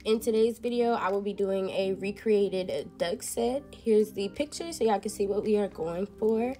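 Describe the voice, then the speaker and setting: Young woman, stuffy congested nose, chatty casual tutorial voice, soft music behind. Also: medium pitch, normal speed. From Texas. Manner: chatty, addresses viewers as y'all. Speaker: nail technician.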